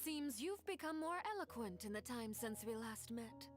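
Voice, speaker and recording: A woman's voice: fairly high pitch, lively intonation, normal speed, soft background music, close to an everyday voice. An actress playing a cool, poised, formidable woman in a video game, speaking formally and with control. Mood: cool, businesslike. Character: cool, poised, formidable female envoy of a shadowy organisation.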